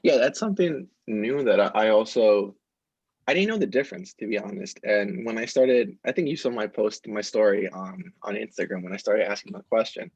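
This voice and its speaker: A young man with a husky, lowish voice explains things in long, rambling stretches. The sound is dry and muffled, like a lo-fi mic. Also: brisk, a little mellow, dry recording. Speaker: podcast co-host. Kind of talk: casual discussion.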